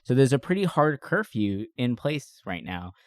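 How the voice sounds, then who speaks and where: A man's voice: mid-range voice, big pitch swings, moderate pace, dry recording, studio quality. A man co-hosting a podcast, chatting informally and enthusiastically in a casual, slangy review style. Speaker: podcast co-host. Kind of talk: casual movie review chat.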